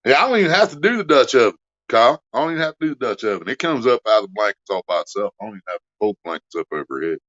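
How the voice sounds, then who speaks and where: A man's voice: mid-range voice, lively intonation, racing, a bit bright, lo-fi sound. A man hosting a casual livestream, cracking crude jokes and reading out donations. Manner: crude joking, reads donations aloud. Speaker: livestream host.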